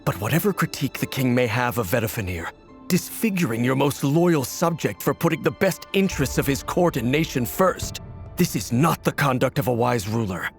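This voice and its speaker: A man's voice: medium pitch, expressive, a little fast, natural voice. Man doing a noble guard captain from a game, firm formal mid voice, bit of music under. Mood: determined. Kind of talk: video game voice acting. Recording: game music faintly behind.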